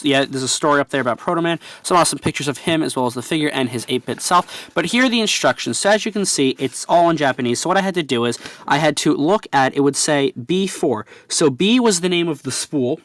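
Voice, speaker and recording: A man's voice: medium pitch, a bit bright. A man with a cold and a stuffy, nasal voice, chatting enthusiastically through a casual toy review.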